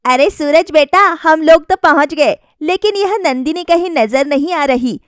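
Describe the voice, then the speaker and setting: A woman's voice: high voice, unhurried, no room sound, clean studio sound, voice pushed up. A woman voicing a stern, easily displeased older mother-in-law who scolds and questions in a family story. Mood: disapproving. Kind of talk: acted dialogue in a Hindi family story.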